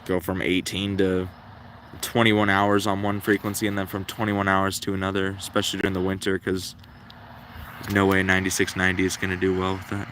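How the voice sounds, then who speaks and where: A man casually thinking out loud as he hosts a hobby livestream, in a low-key, rambling, unhurried way. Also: monotone, a little hiss or hum. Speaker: livestream host, radio hobbyist. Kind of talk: casual livestream commentary, musing aloud.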